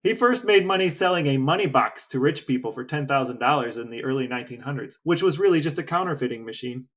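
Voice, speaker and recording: A man's voice: medium pitch, animated, fast, a bit bright. A man co-hosting a podcast with his brother, quizzing him and telling a long anecdote with dry humor. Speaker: podcast co-host. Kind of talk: casual chat.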